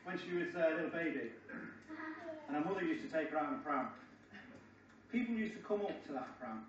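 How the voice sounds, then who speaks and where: A middle-aged man giving a joking wedding speech, telling stories with deliberate pauses. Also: fairly high pitch, lively intonation. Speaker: wedding speaker, best man. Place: large function room, indoors. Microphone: far camera in the audience. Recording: echoey, muffled, distant, noisy crowd. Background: audience crowd and laughter.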